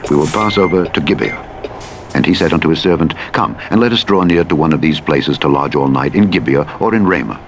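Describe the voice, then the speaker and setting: A man reading scripture as a formal, solemn and measured scripted narration. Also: very deep voice. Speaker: audiobook Bible narrator. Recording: loud truck engine noise, played as radio. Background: truck simulator engine, vehicle sounds, reversing beeps.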